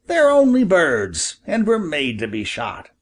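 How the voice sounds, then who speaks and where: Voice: mid-range voice, wildly swooping pitch, a little slow, voice pushed up. A reader voicing a persistent grown man, a hunter who coaxes and bargains with a boy, in an audiobook. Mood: coaxing. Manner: coaxing, falsely good-natured. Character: persistent adult male hunter, sly and wheedling. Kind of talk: audiobook character voice.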